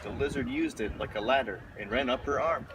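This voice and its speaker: A man's voice: mid-range voice, brisk, a bit bright. A man reading a children's picture book aloud, recorded outdoors. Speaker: storybook reader. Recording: noisy outdoor recording with traffic.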